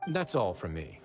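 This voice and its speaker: A very low, assured voice rings with long reverb. This composed man of authority speaks deliberately in a game scene, with faint music underneath. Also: vocal fry, fairly bright tone, heavily acted. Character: composed, authoritative man explaining a case calmly. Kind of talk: video game character dialogue.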